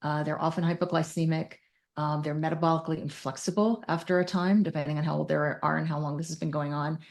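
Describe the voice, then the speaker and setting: A woman with a low-pitched, gravelly voice full of fry. She talks as a clinician guest, explaining in emphatic detail and mixing technical terms with casual slang. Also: level tone, fast talker. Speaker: podcast guest, mental health clinician. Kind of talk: conversational expert explanation.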